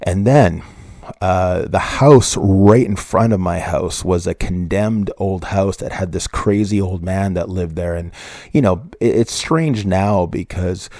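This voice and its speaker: A man's voice: low voice, unhurried, dark tone, dry recording, studio quality. A man in his fifties from Canada, a podcast host telling a personal true crime story from his childhood in a rambling way, with restarts and self-corrections. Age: 50s.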